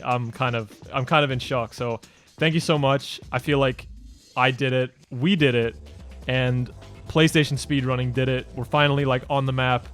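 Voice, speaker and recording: Young male creator, twenties, mid-range voice, relaxed rambling delivery, light music bed. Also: medium pitch. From Denmark. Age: 20s. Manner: loose and rambling, many "like" fillers and restarts. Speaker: YouTube creator answering fan questions. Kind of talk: casual Q&A vlog.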